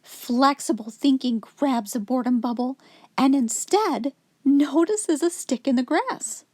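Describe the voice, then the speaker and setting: A woman reading a children's picture book aloud expressively, in a clear, instructive storytelling manner for young children. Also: high-pitched, unhurried, darkish tone, no room sound, clean studio sound. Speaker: children's story reader, host.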